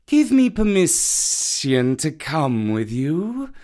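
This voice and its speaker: An actor reading a storybook and voicing a wary, proud old male python with a slow, courteous, hissing delivery. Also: fairly high pitch, huge pitch swings, a little mellow, voice pushed up, full character voice.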